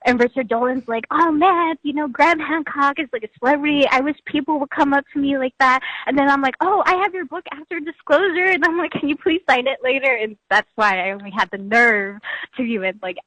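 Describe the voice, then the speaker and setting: A young woman calling in to a radio show, chatty and excitable, peppering her talk with "like" and laughing often. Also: high voice, brisk, no echo, rough recording. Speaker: caller. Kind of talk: phone call to a radio show.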